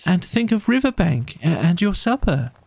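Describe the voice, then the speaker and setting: A man performs an anxious male mole from a cosy storybook. The voice sits high and flustered, stammering through tearful pleas and apologies, recorded close and dry. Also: sing-song, moderate pace, gravelly, darkish tone, no room sound, heavily acted. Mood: flustered pleading.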